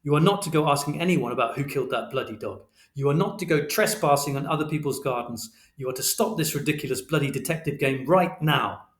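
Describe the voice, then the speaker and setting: A man voicing an exasperated middle-aged father who scolds and shouts. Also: medium pitch, moderate pace, close to an everyday voice. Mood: stern. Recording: roomy echo.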